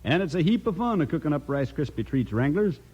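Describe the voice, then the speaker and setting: A man's voice: on the high side, very animated, fairly quick, a little mellow, slightly rough, lo-fi sound. A man with an American accent doing folksy, enthusiastic sponsor banter in a 1950s radio style. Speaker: radio commercial pitchman.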